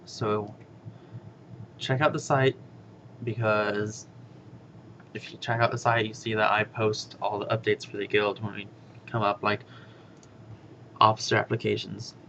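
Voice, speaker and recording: A man hosting a casual guild podcast announcement, speaking in a monotonous, rambling way with many fillers. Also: measured pace, vocal fry, long pauses. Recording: dull lo-fi with faint rumble.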